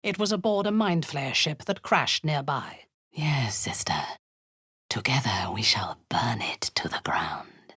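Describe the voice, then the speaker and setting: A woman's voice: extremely low pitch, animated, a little slow, a bit bright, husky, no echo, clean studio sound, heavily acted. An actress voicing a cruel, zealous female warlord in a video game, giving orders in a cold, commanding tone with hissed half-whispers. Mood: menacing.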